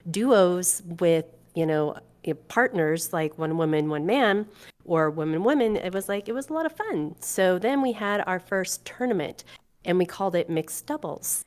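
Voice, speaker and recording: A woman talks as a guest on a podcast. Her pitch is slightly low for a woman. She explains and recounts things in a casual, conversational style. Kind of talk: casual chat and storytelling.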